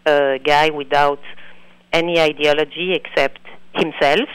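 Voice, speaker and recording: A woman from Belgium with a French accent, a documentary filmmaker answering interview questions in an earnest, halting way that repeats words. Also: low voice, fairly even intonation, clean tone, dry recording, rough recording.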